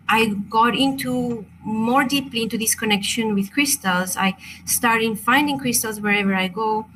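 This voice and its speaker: A young woman with a Chilean Spanish accent presents an online workshop in English. Her voice is bright and clear, and she speaks hesitantly, often pausing and restarting her sentences. A faint hiss sits under the recording. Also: mid-range voice, rough recording. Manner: soft-spoken, hesitant, many fillers and restarts. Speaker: crystal workshop teacher.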